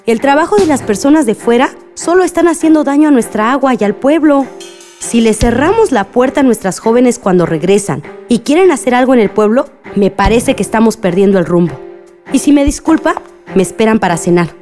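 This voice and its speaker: A woman's voice: fairly high pitch, lively intonation, brisk, a little mellow, soft background music, natural voice. Actress as a firm Mexican village mother. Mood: firm, indignant. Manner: firm, formally polite. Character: principled, firm village mother, polite but unyielding. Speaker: radio drama actor. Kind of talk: radio soap opera acting.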